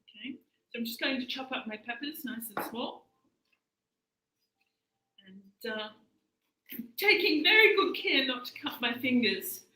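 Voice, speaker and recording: An Australian woman nearing sixty chats her way through a live cooking demo, pausing often on fillers. Her voice is bright and a little high. The room is echoey. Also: animated. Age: 50s. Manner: chatty, hesitant, many fillers, switches into Mandarin. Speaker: cooking instructor.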